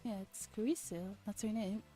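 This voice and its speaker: A woman streamer reading a visual novel aloud in a soft ASMR style, with casual asides. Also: mid-range voice, fairly even intonation, normal speed, soft background music.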